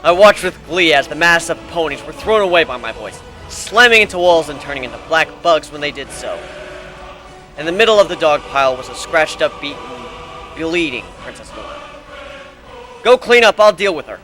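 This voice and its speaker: Bright-voiced man reading a story dramatically. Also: fairly high pitch, expressive, a little fast, faint music behind. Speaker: amateur story reader. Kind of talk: dramatic reading of first-person fantasy fan fiction.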